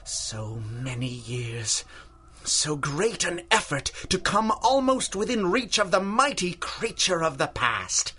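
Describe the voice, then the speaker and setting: A man's voice: fairly high pitch, sing-song, takes it slowly, pushed and bright, slightly rough, heavily acted. A man playing an obsessed older scientist in a radio drama, formal and patronizing in manner, courteous yet ruthless. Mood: wistful, fond. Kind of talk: 1930s-style radio drama acting.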